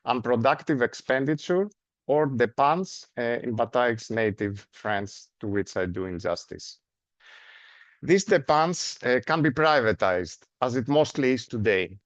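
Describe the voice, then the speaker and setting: A man with a Greek accent delivers a seminar lecture in a measured, explanatory way, with frequent "uh" fillers and tag questions. His voice sits at a middle pitch for a man. The recording is dry and somewhat dull, with little room sound. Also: animated, no room sound.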